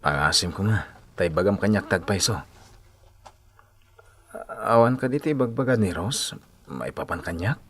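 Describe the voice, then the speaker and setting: A man's voice: sits low, lively intonation, moderate pace, long pauses. An actor playing an earnest, hesitant young man in a Filipino radio drama, speaking with an Ilocano accent. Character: earnest, hesitant young man shy about courting his friend. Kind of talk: Philippine radio drama acting.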